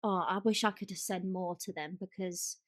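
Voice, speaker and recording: A woman's voice: medium pitch, fairly even intonation, normal speed, no room sound, studio quality. A woman co-hosting a podcast in casual chat, gushing and emphatic, often repeating herself for stress. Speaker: podcast co-host.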